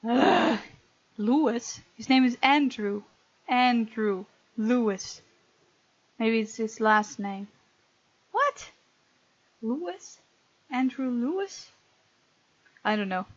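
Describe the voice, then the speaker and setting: A woman's voice: a little high, expressive, slow, long pauses, no echo, muffled recording. A woman hosting a gaming video, reading game text aloud and breaking off to comment playfully.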